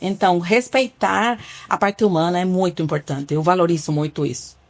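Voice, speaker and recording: A woman's voice: on the low side, normal speed. Cuban-accented woman doctor, earnest. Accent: Cuban Spanish. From Cuba. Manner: earnest, explains with patient examples, some restarts. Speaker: family doctor. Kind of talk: podcast interview.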